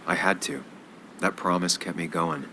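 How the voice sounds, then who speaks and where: An actor playing a troubled, earnest journalist turned amateur detective in a video game. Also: male voice, low-pitched, fairly even intonation, normal speed, bright, forceful tone, a little hiss or hum. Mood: subdued. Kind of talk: video game voice acting, inner monologue and dialogue.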